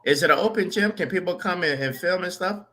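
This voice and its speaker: Very bright, forceful informal male host. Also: high voice, fast talker. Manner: informal, repeats words. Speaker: show host. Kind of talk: casual live interview chat.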